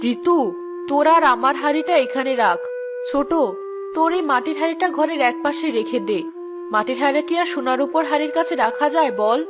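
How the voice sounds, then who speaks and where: A woman's voice: high voice, normal speed, over music, natural voice. A woman voicing a boastful, greedy eldest village sister in a children's cartoon, exclaiming and bossy.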